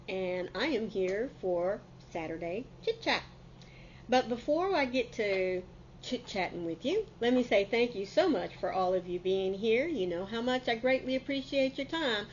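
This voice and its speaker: A woman's voice: mid-range voice, muffled recording, a little hiss or hum. A middle-aged woman, a bit congested from a cold, chatting casually and folksily to camera as a crafting channel host.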